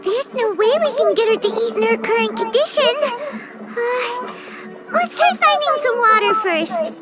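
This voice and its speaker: A woman voicing a tiny, chatty, outspoken fairy companion in a game, with a squeaky childlike voice. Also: expressive, measured pace, loud music behind the voice. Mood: concerned, puzzled.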